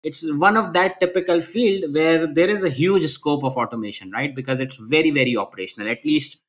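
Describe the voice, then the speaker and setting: A man's voice: a little high, fairly quick. A man, answering interview questions earnestly over a video call, restarting phrases often and ending points with "right?". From Pakistan. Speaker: guest.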